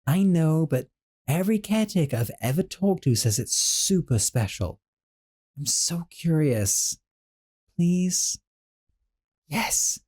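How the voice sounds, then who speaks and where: A man playing a gentle, doting young sorcerer roommate in a scripted audio roleplay, talking softly and a little flustered. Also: on the high side, expressive, takes it slowly, pauses often.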